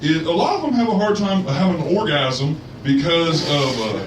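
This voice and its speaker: A man's voice: on the high side, lively intonation, normal speed, background noise, voice pushed up, natural voice. A man voicing a crude, unraveling male road comedian who rambles with stumbling restarts. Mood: rambling. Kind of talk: stand-up set in a radio play. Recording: distant echoey live club recording.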